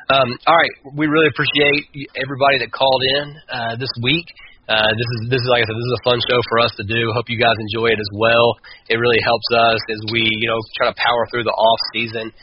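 Man, muffled recording with clear noise, lowish voice, casual sports analysis, halting delivery, podcast host. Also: flat delivery. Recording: muffled, clearly noisy, radio-like audio.

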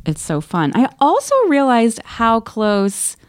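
A woman's voice: on the high side, very animated, slow, dry recording. A woman co-hosting a podcast in a casual, chatty book club style, talking and reading aloud from listener letters. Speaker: podcast co-host.

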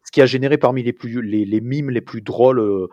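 A man's voice: medium pitch, a little fast, dark tone, no echo, highs cut off. A man co-hosting a casual podcast who talks hesitantly and restarts while he looks for words. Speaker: podcast co-host.

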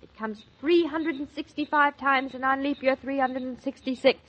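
An actress playing a bubbly, romantic young wife in a 1940s radio drama, eager and playful. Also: female voice, high voice, steady tone, normal speed, fairly bright tone. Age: young adult. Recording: dull old radio recording.

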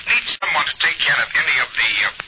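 A man's voice: fairly high pitch, expressive. An actor playing a charming, vague businessman on the telephone, in 1940s radio drama style. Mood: businesslike. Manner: charming, hesitant pauses, businesslike. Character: smooth-talking, vague small-firm businessman on the phone. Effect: telephone filter. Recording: noisy, dull 1940s radio phone voice.

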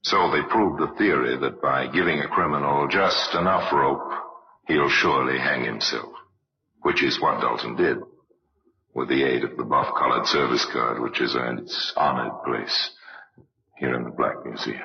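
Gravelly, very deep radio crime host. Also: male voice, moderate pace. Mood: solemn, portentous. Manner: stately, formal, theatrical. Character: solemn, portentous male museum storyteller of crimes. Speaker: narrator and host of a crime anthology. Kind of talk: old-time radio narration. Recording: dull old radio recording.

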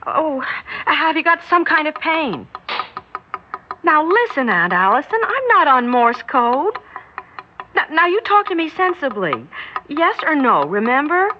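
An affectionate young niece from a 1960s radio drama. Her voice is fairly high and very lively, chatty and earnest. The old recording is dull and slightly hissy. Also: unhurried. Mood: anxious, coaxing. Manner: chatty, eager, girlish.

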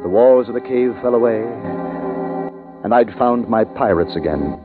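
An actor playing a wistful, courteous grown man narrating his boyhood memories in measured, literary 1950s radio style. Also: medium pitch, expressive, phone-quality sound, close to an everyday voice. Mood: suspenseful, wistful. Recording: band-limited 1950s radio recording, loud music.

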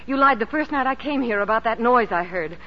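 A woman's voice: a little high, lively intonation, brisk, lightly acted. A woman acting a strong-willed, emotive female musician in an old radio drama. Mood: distressed, defiant. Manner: theatrical, emotive. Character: strong-willed ailing female concert pianist, urgent and defiant. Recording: muffled, noisy 1940s radio recording.

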